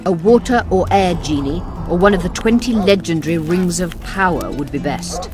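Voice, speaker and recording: An actress playing a self-assured, commanding sorceress in a video game, speaking briskly and formally. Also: female voice, on the low side, expressive, natural voice. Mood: urgent, commanding. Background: game music.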